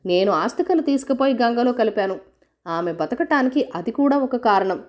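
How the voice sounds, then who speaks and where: Woman narrator as young male suitor, storybook, insistent, arguing, high lively voice. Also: moderate pace, dull audio, lightly acted.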